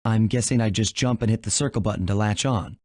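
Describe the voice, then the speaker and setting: An actor playing a confident young raccoon thief in a video game, casual and unflustered, with an American accent. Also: male voice, fairly low pitch, fast, darkish tone, dry recording, studio quality, close to an everyday voice.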